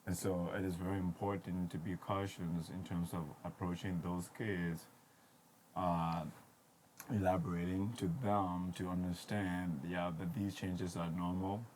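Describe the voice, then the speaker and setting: A man's voice: low voice, little pitch movement, measured pace, darkish tone, a little hiss or hum, low-quality recording. A man from Liberia who grew up in Ivory Coast, a podcast guest who earnestly advises parents. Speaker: podcast guest, former student turned teacher. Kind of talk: casual podcast conversation.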